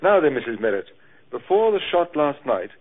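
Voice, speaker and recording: An actor playing a brisk, authoritative police inspector questioning people in an old-time radio crime drama. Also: male voice, medium pitch, big pitch swings, narrow, tinny audio. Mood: businesslike. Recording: thin band-limited old radio recording, music.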